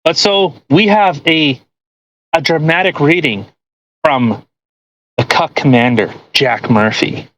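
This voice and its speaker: A man's voice: a little high, slow, long pauses, a bit bright, dry recording, low-quality recording. A man hosting a podcast in casual, mocking commentary with crude joking. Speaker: podcast host.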